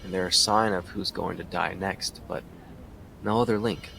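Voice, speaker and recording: An actor playing a weary, driven police detective who narrates his case in a brooding, deliberate way for an audio drama. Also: deep voice, fairly even intonation, gravelly, fairly bright tone, slight background noise. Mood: grave, puzzled.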